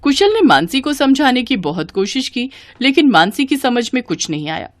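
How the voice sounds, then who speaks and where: A woman narrating a scripted Hindi family story as storyteller, with an expressive storybook delivery. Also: high voice, normal speed, no room sound.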